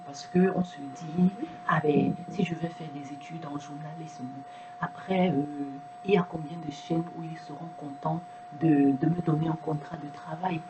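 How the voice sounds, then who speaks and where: Brief: woman, non-native French speaker, low pitch, earnest reflective delivery with restarts; noticeable background hum. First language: not French. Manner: earnest, many restarts and repeated words. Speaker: video presenter. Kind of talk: reflective monologue to camera.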